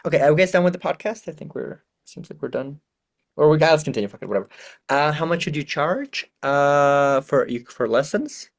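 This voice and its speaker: Relaxed rambling man giving advice. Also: on the high side, fairly quick. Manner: relaxed, rambling, swears casually. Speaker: podcast host. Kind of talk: casual advice chat.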